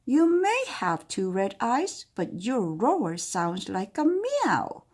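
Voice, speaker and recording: A woman voicing a bossy grown-up female cook in a storybook, speaking firmly and scolding in a raised, higher voice. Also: wildly swooping pitch, slow pace, a little mellow, exaggerated voice. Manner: scolding, firm.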